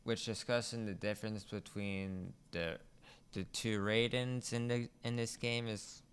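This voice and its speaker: A young man co-hosting a casual gaming podcast, hesitant and low-key with dry joking. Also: fairly even intonation, unhurried, fairly bright tone, clear, resonant tone. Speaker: podcast co-host. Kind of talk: casual podcast chat about a video game.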